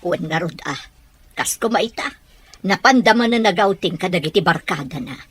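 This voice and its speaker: A woman playing a worried middle-aged mother in a radio drama, fretful and quick to scold. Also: lowish voice, lively intonation, a bit bright, husky.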